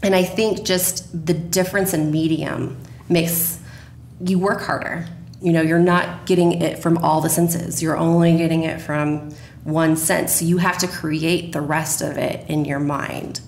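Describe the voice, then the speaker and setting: A woman in her thirties talks as an interview guest, explaining things conversationally. Her voice is low with plenty of fry, and the room around her sounds echoey. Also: fairly even intonation, a little slow, darkish tone. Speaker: radio drama producer, interview guest. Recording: roomy, echoey, faint background noise.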